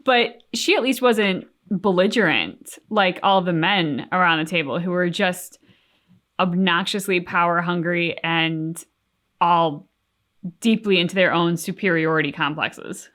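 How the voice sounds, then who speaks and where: A woman's voice: fairly low pitch, a little slow, fairly bright tone. A young adult woman co-hosting a reaction show, talking things over in an earnest, thoughtful way. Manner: thoughtful, earnest, talks in long reflective runs. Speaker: reaction channel co-host. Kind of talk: casual reaction chat.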